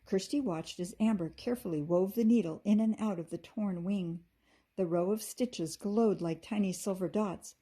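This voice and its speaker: A woman with a husky voice reading a children's story aloud expressively, like a teacher to her class. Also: medium pitch, normal speed, dark tone.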